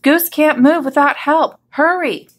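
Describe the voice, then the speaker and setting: Alarmed male storybook hippo, high, urgent, commanding played by a woman. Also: big pitch swings, moderate pace, breathy edge. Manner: urgent, exclaiming.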